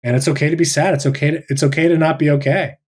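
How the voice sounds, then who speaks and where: A male teacher sharing personal reflections in a thoughtful, halting way with many restarts, over a video call. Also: a little high, fairly even intonation.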